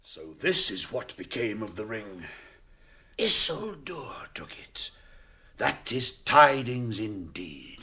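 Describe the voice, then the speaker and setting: A proud, embattled warrior of noble birth in a radio fantasy. He declaims emphatically in a lowish voice that rises and falls widely. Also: very animated, takes it slowly, pushed and bright, heavily acted. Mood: startled gravity. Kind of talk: radio drama acting.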